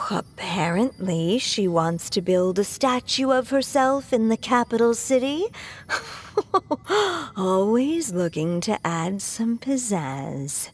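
An actress playing a fond, aging woman storyteller in a video game, reading a farewell letter in a gentle, reflective way. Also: on the high side, expressive, breathy edge, full character voice. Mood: wistful, fond. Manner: slow, reflective, tender.

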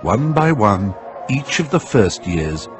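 Game narrator, storybook style: deliberate, dramatic, lowish and unhurried, music underneath. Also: male voice, big pitch swings. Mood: measured, dramatic. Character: measured storybook narrator of a magical tale. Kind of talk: scripted narration.

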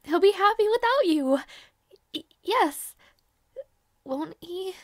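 A woman playing a devoted, anxious young maid in an audio roleplay, speaking softly and politely with halting hesitation. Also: very high-pitched, expressive, no room sound, clean studio sound, full character voice. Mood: sad, wistful.

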